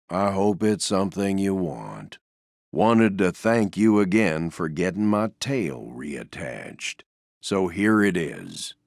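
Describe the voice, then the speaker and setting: A man voicing a gloomy, mild-mannered toy donkey for a video game, with a deep, gravelly voice and a glum, resigned, self-deprecating drawl. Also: no room sound, studio quality, heavily acted. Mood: glum, grateful. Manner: slow, glum, resigned drawl with downcast understatement. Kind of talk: video game character voice lines.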